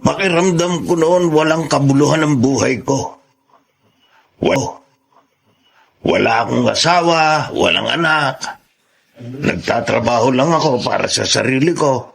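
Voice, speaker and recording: A man playing a sincere, tender older uncle in a radio drama, with a Filipino accent and a husky, creaky voice. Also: mid-range voice, measured pace, pauses often. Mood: earnest, moved.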